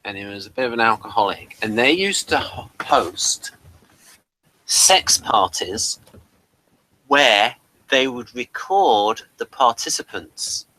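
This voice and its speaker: Guy with a bright forceful voice, mid pitch, chatting away, keeps hunting for words. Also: animated, takes it slowly, poor audio. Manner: hesitant, searching for words. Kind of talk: casual chat about old television.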